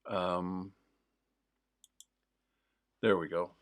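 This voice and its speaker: Host, male, mild Newfoundland accent; lowish voice, slow, meandering delivery with frequent fillers. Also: little pitch movement, smooth. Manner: digressive, with many pauses and fillers. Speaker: host of a livestream. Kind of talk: casual rambling chat.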